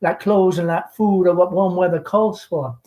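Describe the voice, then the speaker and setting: Guy doing a gushy southern belle mom, high voice, rambles on, fretful and genteel, theatrical. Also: normal speed, warm tone, lightly acted. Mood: flustered, chatty. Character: chatty middle-aged Southern belle mother, genteel and fretful.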